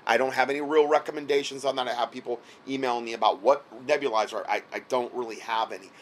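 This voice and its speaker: A hoarse man talks the listener through instructions in a loose, rambling way, stopping often to check that he is being followed. His voice sits at a middle pitch and is a little worn from a cold. A faint hiss runs under the recording. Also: fairly even intonation, quick pace. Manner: repeats himself, frequent "okay?" check-ins. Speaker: health product seller giving advice. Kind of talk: casual instructional talk. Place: indoors, late at night.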